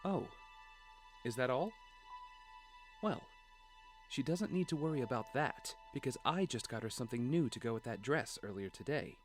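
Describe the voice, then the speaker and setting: A man's voice: mid-range voice, lively intonation, normal speed, pauses often, faint music behind, lightly acted. An actor playing an earnest family man and father in an audio drama, with a conversational manner. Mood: fatherly.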